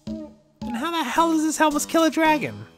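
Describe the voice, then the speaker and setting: A woman's voice: high voice, very animated, moderate pace, faint music behind, pitched above the performer's own voice. A woman voicing a small female kobold in a fantasy audio comedy, a guarded and blunt schemer. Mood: sceptical.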